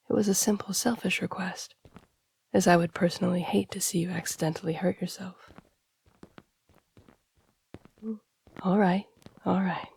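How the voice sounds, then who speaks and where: A very breathy, husky woman playing a masked assassin sworn to royalty, with gravelly edges and a restrained, even melody. The speech is hushed, formal and devoted, as in an intimate fantasy audio roleplay. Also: lowish voice, full character voice. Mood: gentle, courteous.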